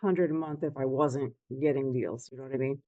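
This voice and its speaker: A woman's voice: rumbling low, fairly even intonation, a little fast, dark tone, no echo. Female prospective client, conversational and tentative, short replies, dry lo-fi call recording. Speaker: prospective client, part-time real estate agent. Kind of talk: casual conversation on a sales call. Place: online video call.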